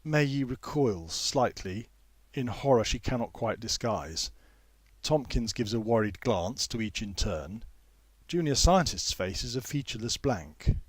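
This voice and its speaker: A man's voice: mid-range voice, animated, unhurried, husky, no room sound. A man reading his own fiction aloud as scripted audiobook narration. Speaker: author reading own story.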